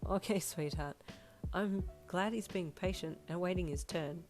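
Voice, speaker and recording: A woman voicing a gentle, doting father who speaks softly and teases kindly. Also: fairly low pitch, measured pace, faint music behind, close to an everyday voice. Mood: amused. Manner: soft, tender, teasing.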